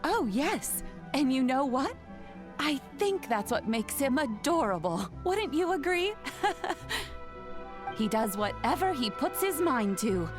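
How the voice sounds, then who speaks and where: An actress playing a kindly old granny in a game, speaking in a gentle, measured, motherly way. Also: a little high. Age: older.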